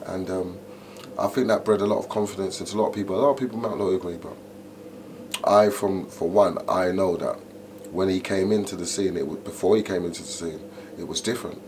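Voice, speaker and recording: A middle-aged man, an MC telling stories in an interview, animated and full of "you know". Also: deep voice, a little fast. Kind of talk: interview, casual storytelling. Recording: noticeable background noise.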